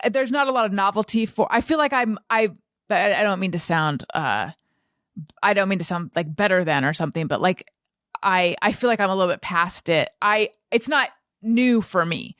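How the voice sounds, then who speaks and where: A woman's voice: mid-range voice, normal speed, a bit bright, no room sound, clean studio sound. A woman podcast host chatting casually in a rambling, self-deprecating way, with "like" sprinkled throughout. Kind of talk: casual chat.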